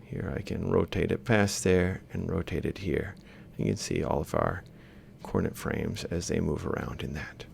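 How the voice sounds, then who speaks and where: A man's voice: normal speed, creaky, hardly any pauses, a little mellow. A man giving an informal lecture as he works through an example, thinking aloud step by step and correcting himself. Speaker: robotics lecturer. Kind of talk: informal worked-example lecture, explaining while drawing. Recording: faint background noise with low rumble.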